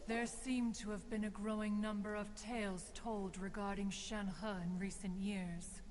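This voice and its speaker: A woman's voice: medium pitch, flat delivery, a little slow, big-room sound, background noise, lightly acted. An actress voicing an ancient female crane spirit in a game, speaking in formal, archaic phrasing. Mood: lofty. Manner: formal archaic speech, refers to herself as one. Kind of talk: game character dialogue.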